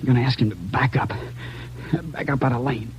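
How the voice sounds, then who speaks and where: A mortally wounded policeman, played by a male radio actor, gasps out halting, repeated phrases in pain. He sounds dazed and pleading. The old recording is muffled, with a faint hiss. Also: medium pitch, lightly acted. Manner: halting, repetitive, gasping in pain. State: in pain, struggling for breath. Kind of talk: 1940s radio acting.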